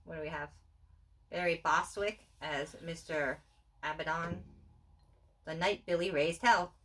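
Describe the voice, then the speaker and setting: A woman's voice: low voice, fairly even intonation, measured pace, fairly bright tone. A woman co-hosting with casual, playful banter and a teasing way of talking. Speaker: co-host. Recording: voice distant from the mic.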